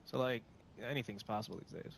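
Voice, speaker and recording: A man's voice: fairly low pitch, fast talker, vocal fry, dry recording. A man chatting casually in a roleplay, rambling with lots of fillers. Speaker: roleplay player.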